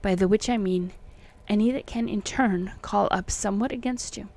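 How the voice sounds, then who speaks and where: A woman voicing an uneasy, secretive old colonial occultist man, reading his letters in solemn, halting archaic phrasing. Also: mid-range voice, a little mellow, lightly acted. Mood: grave warning.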